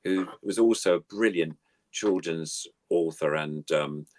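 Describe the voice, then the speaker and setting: A man's voice: low voice, measured pace, dry recording. An older man chatting casually as a guest, reminiscing in an effusive, rambling way. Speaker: guest puppeteer.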